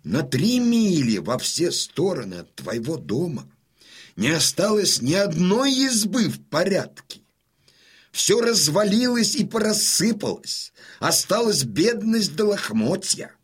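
An actor playing a stern, proud old Cossack colonel in a radio drama, husky and imperious. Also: male voice, high-pitched, very animated, full character voice. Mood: wry, probing. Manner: imperious, weighty, deliberate.

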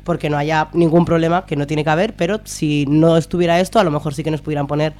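A woman's voice: low voice, fairly even intonation, fast talker, a little mellow. Female radio interviewee, accent from Spain, colloquial and somewhat hesitant, an activist explaining things informally. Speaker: activist guest, member of a citizens' assembly.

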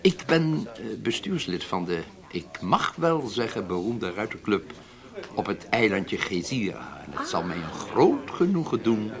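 A self-important, courtly German gentleman of a riding club. His low voice swings widely as he pays ponderous, formal compliments. It is a radio drama with a crowd murmuring behind him. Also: sing-song, measured pace. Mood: pleased, self-important.

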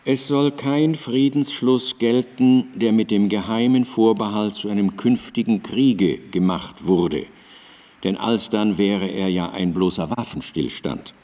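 Radio drama role: man playing aged Enlightenment thinker, low dark voice, solemn, deliberate, emphatic reasoning. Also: lightly acted. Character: elderly male Enlightenment philosopher, solemn and reasoning. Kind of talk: radio drama, declaimed philosophical writing. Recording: long hall-like reverb on cassette.

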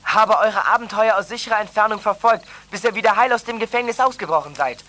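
A man's voice: sits high, fast talker, sharp tone. A young male performer playing a smug, huffy boy talking by walkie-talkie in a children's radio play. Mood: smug, offended. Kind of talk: 1980s German children's radio play acting.

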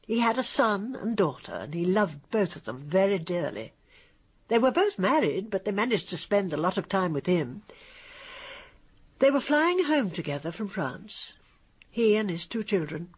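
A woman's voice: medium pitch, very animated, normal speed, breathy edge. An actress playing a middle-aged, gushing, decisive upper-class British lady in a radio drama. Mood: sorrowful storytelling.

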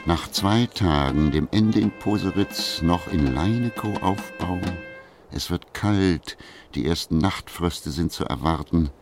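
Male narrator, very low voice, scripted radio play storytelling, faint music behind. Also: takes it slowly.

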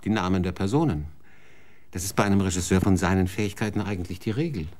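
A man's voice: low-pitched, quick pace, darkish tone, natural voice. An actor playing a world-weary male TV executive in a radio drama, blunt and sardonic.

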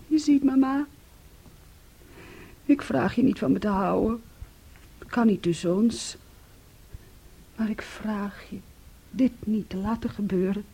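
Husky, bitter young daughter. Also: female voice, sits high, a little mellow, full character voice. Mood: anguished pleading. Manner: intense, dramatic, cutting. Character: bitter, defiant grown daughter, fiercely accusing and pleading. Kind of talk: Dutch radio play acting.

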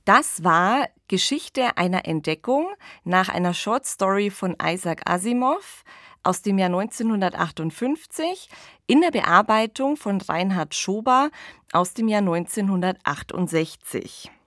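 A woman's voice: mid-range voice, expressive, measured pace, fairly bright tone, no room sound, clean studio sound. A woman co-hosting a podcast, presenting and explaining in an engaged way. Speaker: podcast co-host. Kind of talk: podcast discussion with scripted credits.

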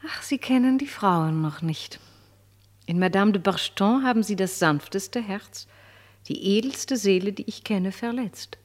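An actress in a German radio play playing a flattering, scheming French marquise with an affected, condescending manner. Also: female voice, medium pitch, a little slow, lightly acted. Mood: gracious reproach. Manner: affected, gracious, condescending, teasing.

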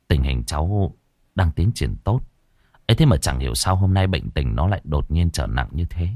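A man voicing an old doctor who delivers bad news in a slow, heavy, subdued way. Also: very deep voice, a little mellow, no echo, studio quality, voice pushed down, heavily acted. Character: old male doctor, grave and sorrowful.

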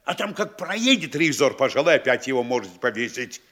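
A bossy small-town mayor. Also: male voice, medium pitch, sing-song, a little fast, a bit bright, husky. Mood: instructing. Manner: bossy, instructing, mock-scolding. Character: pompous middle-aged male town mayor, lecturing. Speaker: town governor. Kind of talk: studio radio play acting.

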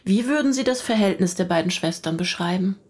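A woman playing a calm, probing investigator in a naturalistic radio drama, questioning politely in a measured, matter-of-fact way. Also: medium pitch, slightly rough. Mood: inquisitive, calm. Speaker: actor.

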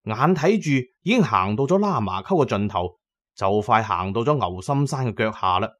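A man's voice: on the low side, lively intonation, normal speed. A man with a Cantonese accent narrating an audiobook as a dramatic storyteller, with colloquial asides.

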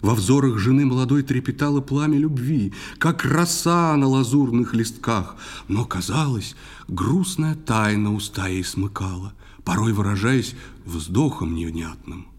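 A man narrating a poetic audio drama in verse with expressive, literary delivery. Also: medium pitch, a little slow.

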